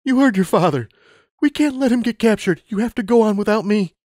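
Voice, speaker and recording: A man's voice: sits high, expressive, fairly quick, warm tone, no room sound, clean studio sound, voice pushed up. A man voicing a firm, loving pony mother who is tearful.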